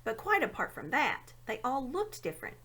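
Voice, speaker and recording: A woman giving an expressive read-aloud narration of a children's fantasy story. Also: high-pitched, moderate pace. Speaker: storybook reader.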